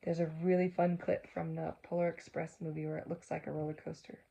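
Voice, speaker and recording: A woman reading a storybook aloud for children, gently engaging them with asides and questions. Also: deep voice, little pitch movement, brisk, vocal fry.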